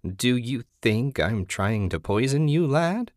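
A man voicing an eerie old woman hermit in a story, folksy and hospitable yet sly, with drawn-out phrasing. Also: mid-range voice, very animated, a little mellow, dry recording, studio quality, pitched above the performer's own voice. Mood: insistent.

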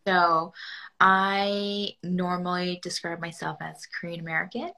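A woman from Argentina co-hosting a casual podcast chat, speaking thoughtfully with pauses. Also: lowish voice, flat delivery, vocal fry, bright, forceful tone. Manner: thoughtful, reflective, hesitant. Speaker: podcast co-host, psychotherapist.

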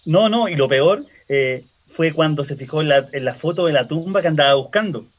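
A man's voice: fairly high pitch, lively intonation, moderate pace, lo-fi sound. A man with a Chilean accent playing a chatty, teasing cemetery worker in a radio drama. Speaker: actor. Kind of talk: radio drama acting, colloquial dialogue.

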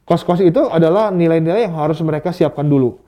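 A man's voice: a little high, quick pace, dark tone. A man, speaking as a guest expert in a casual interview, explaining through rhetorical questions and examples. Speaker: guest expert on stock market investing.

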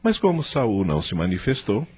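A man's voice: lowish voice, huge pitch swings, fairly quick, a little mellow, breathy edge. A man with a Brazilian accent giving scripted narration for a radio drama.

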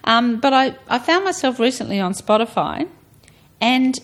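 A woman's voice: fairly high pitch, expressive, moderate pace. A middle-aged woman with an Australian accent, chatting casually and explaining things as a podcast guest. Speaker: podcast guest discussing health and personal tastes. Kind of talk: casual chat.

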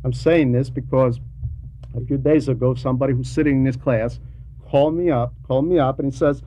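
A man giving a homily to a class in a deliberate, didactic way, pausing between short phrases and repeating words for emphasis, on a dull, lo-fi recording. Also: mid-range voice, steady tone, warm tone. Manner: didactic, poses rhetorical questions. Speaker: religious teacher reading a translated homily to a class. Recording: dull lo-fi recording with faint noise.

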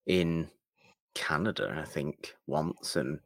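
A man's voice: a bass voice, slow pace, vocal fry, no room sound, clean studio sound. A man with a British accent co-hosting a film podcast in casual chat, a bit mock-pedantic and hedging as he talks. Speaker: podcast co-host.

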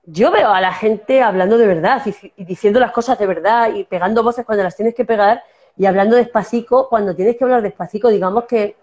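A woman's voice: mid-range voice, brisk, a little mellow. A woman from La Mancha with a Spanish accent from that region, an actress telling stories in a casual interview, often restarting and using fillers. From Castilla-La Mancha, Spain. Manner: storytelling, many restarts and fillers. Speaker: actress guest.

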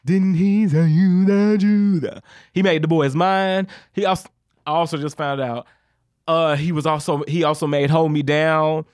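A woman co-hosting a podcast, chatting casually in a blunt, profane, animated way. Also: low voice, moderate pace, no room sound. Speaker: podcast co-host. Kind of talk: casual chat.

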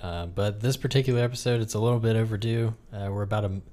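A man's voice: lowish voice, fairly even intonation, fast, dark tone. A man hosting a casual podcast, chatting in a joking, sarcastic way with his co-host. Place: room with another person.

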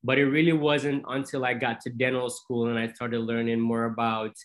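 A man in his thirties from Jamaica, a dentist answering interview questions in a measured, explanatory, conversational way. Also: medium pitch, flat delivery. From Jamaica, moved to the US as a teenager. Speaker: podcast guest, dentist.

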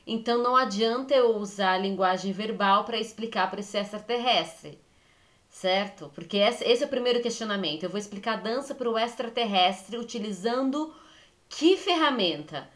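A woman's voice: mid-range voice, fairly bright tone. Rambling woman co-hosting a podcast. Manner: rambling, self-correcting, reflective. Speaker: podcast co-host. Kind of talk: casual podcast chat, thinking aloud.

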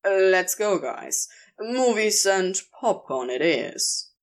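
A woman's voice: medium pitch, animated, slow. A woman voicing a laid-back male demon in an audio story, casual and coaxing. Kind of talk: audio fiction reading.

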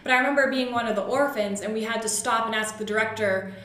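A woman's voice: a little high, fast talker, a bit bright. A woman from southern New Hampshire co-hosting a podcast, chatting casually and drawing on personal anecdotes. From southern New Hampshire, USA. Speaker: podcast co-host. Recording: very echoey, reverberant room.

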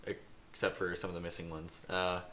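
A man's voice: sits low, flat delivery, moderate pace, sharp tone. A man co-hosting a casual panel podcast, talking hesitantly with repeated words and some casual swearing. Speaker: podcast co-host. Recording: noisy room podcast recording.

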